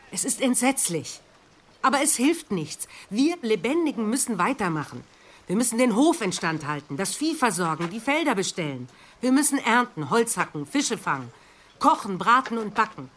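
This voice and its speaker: An actress playing a stern, practical farm mother in a German audio drama, brisk and no-nonsense. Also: mid-range voice, sing-song, fairly bright tone, slight background noise. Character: stern, practical farm mother who keeps everyone working.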